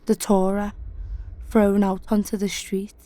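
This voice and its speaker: A woman poet giving a slow, measured, solemn spoken-word poetry reading. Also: medium pitch, flat delivery, mellow.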